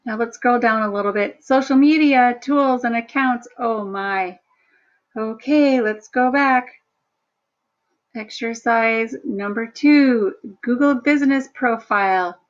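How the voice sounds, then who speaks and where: A woman instructor talking through a screen-share workshop in a chatty, self-deprecating way, with a low, somewhat monotone voice. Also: takes it slowly, dull audio. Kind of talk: screen-share tutorial narration.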